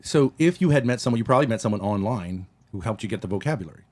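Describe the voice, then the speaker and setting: A man's voice: on the low side, lively intonation, rapid-fire, darkish tone, no room sound. A man from Rhode Island in a casual voice chat, asking probing, leading questions and restarting his sentences often. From Rhode Island, United States. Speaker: father in a voice chat.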